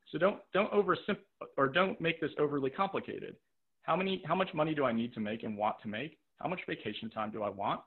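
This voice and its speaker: A man's voice: medium pitch, slightly rough. A man coaching a live webinar, direct and practical, repeating points for emphasis and checking in with "right?", his voice tiring after a long day. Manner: checks in with rhetorical "right?" and "okay?". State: voice tiring from talking all day. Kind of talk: live webinar teaching with audience questions. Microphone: video call microphone. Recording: narrow, tinny video-call audio.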